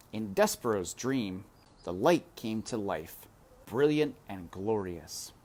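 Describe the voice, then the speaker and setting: Slow, dramatic male storybook reader. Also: lowish voice. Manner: dramatic, deliberate, addresses the listener directly. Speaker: read-aloud narrator. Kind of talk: expressive storybook read-aloud.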